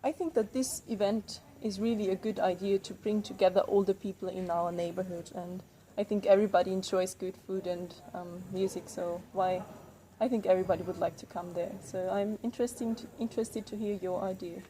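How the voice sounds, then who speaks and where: A woman's voice: medium pitch, fairly even intonation, brisk, warm tone, a little hiss or hum, natural voice, low-quality recording. A woman playing an earnest young newcomer. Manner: earnest, hesitant with fillers. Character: young woman newcomer, eager community volunteer. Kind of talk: classroom role-play.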